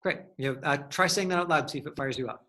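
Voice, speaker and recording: Male acting coach, encouraging and instructive, higher register, crisp bright sound. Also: quick pace. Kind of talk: hands-on acting lesson.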